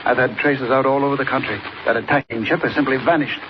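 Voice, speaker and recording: An actor playing a harried, brisk male airfield manager talking conversationally in 1940s radio acting style. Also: medium pitch, fairly even intonation, natural voice. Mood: baffled. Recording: muffled noisy old radio recording.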